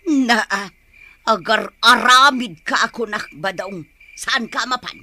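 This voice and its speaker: A nagging village wife. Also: female voice, a little high, slow, pushed and bright, a little hiss or hum, full character voice. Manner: nagging, scolding. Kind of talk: radio comedy drama acting.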